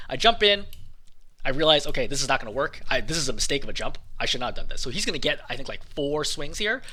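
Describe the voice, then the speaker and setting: Man, game coach, fast-talking, lively pitch, slightly hoarse, gameplay breakdown. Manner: quick, animated explaining over footage. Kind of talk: gameplay commentary.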